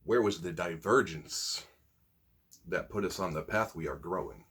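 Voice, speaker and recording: Male amateur spoken-word poet, fairly low husky voice, informal video-host delivery and recitation. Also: measured pace, fairly bright tone. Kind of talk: casual intro and dramatic spoken-word poetry reading.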